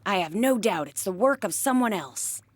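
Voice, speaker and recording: A woman's voice: medium pitch, lively intonation, normal speed, a little hiss or hum, natural voice. A woman voicing a composed, methodical young investigator in an anime dub, polite and self-assured.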